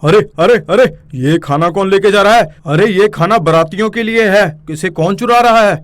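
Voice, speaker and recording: A man voicing a flustered, pleading village cook in an animated children's story, anxiously repeating his words. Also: sits high, moderate pace, close to an everyday voice. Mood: alarmed.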